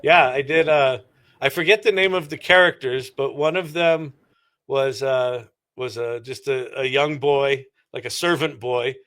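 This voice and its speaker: A man's voice: mid-range voice, a little slow, a bit bright. A middle-aged man giving casual, reflective and explanatory answers as an interview guest. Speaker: interview guest, entrepreneur and former actor.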